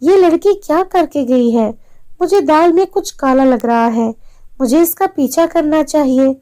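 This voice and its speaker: A woman's voice: high voice, unhurried, dark tone, voice pushed up. A woman voicing an earnest, brave young village girl in a children's story, speaking emphatically. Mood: suspicious.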